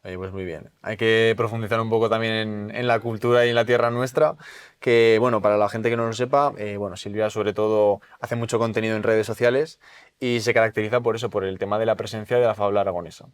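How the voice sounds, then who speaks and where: A man's voice: lowish voice, fairly even intonation, quick pace, smooth. A man hosting a brand podcast, asking long, rambling questions full of hesitations. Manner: hesitant, many "eh" fillers and restarts, rambling questions. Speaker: podcast host. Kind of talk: casual interview chat.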